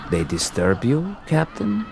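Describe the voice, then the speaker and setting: An actor playing a calm, relentless accuser in a radio drama, speaking in a sardonic, deliberate manner. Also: male voice, sits low, noisy background, full character voice. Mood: mockingly polite. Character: calm, relentless accuser haunting a former camp captain.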